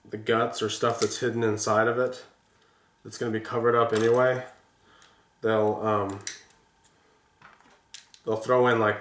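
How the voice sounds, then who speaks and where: A man's voice: fairly low pitch, a bit bright. Stuffy-nosed man chatting casually, roomy sound. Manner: rambling, frequent restarts and fillers. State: congested from a sinus infection. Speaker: vlogger building toy sets. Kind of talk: casual chat while building.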